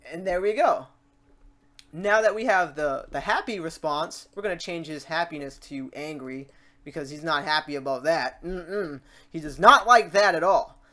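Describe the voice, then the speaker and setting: A woman's voice: sits low, normal speed, pushed and bright, a little hiss or hum. Casual, chatty woman giving a tutorial. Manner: chatty, informal, reassuring the viewer. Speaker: tutorial host. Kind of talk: casual screen-recorded software tutorial.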